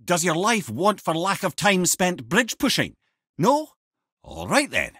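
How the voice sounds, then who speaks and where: An actor playing a witty, learned old companion in a video game, with a Scottish accent, a husky voice and a wry, eloquent, theatrical way of talking. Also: male voice, high-pitched, huge pitch swings, a little slow, pushed and bright, dry recording, clean studio sound, exaggerated voice. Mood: wry, animated. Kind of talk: video game character dialogue, lore storytelling.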